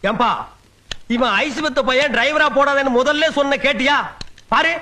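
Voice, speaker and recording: A man's voice: extremely high pitch, steady tone, normal speed, pushed and bright, slight background noise. A man in game voice chat, scolding and teasing his teammates in casual banter. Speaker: gamer in the squad voice chat.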